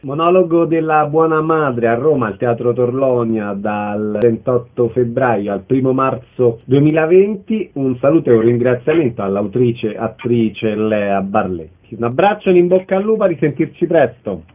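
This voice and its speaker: A man's voice: medium pitch, lively intonation, moderate pace, few pauses. A man hosting a radio show, asking short questions and announcing details in a concise, orderly way. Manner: concise, orderly presenter delivery. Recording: band-limited tinny sound, faint noise.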